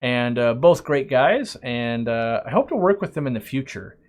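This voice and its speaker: A man's voice: medium pitch, animated, moderate pace. A middle-aged man with an ordinary mid-range voice talks off the cuff on a live stream. He wanders from topic to topic and restarts sentences often. His manner is loose and friendly, not scripted. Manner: rambling and chatty, with frequent restarts and asides; reads viewer questions aloud, then answers them. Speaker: aquarium shop owner hosting a live stream. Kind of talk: casual live-stream Q&A chat.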